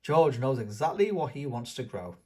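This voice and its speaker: A man's voice: medium pitch, lively intonation, normal speed, mellow. A man hosting a children's read-aloud, narrating a storybook in an enthusiastic manner. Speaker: children's book reader and channel host.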